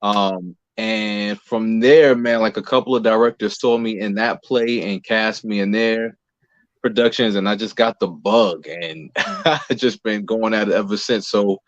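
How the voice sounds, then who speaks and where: A man's voice: on the low side, fairly even intonation, a little slow, no room sound, highs cut off. A man from New Jersey chatting casually as an interview guest, humble and easygoing. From Newark, New Jersey, United States. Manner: humble, easygoing, chuckles readily. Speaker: interview guest, singer and playwright.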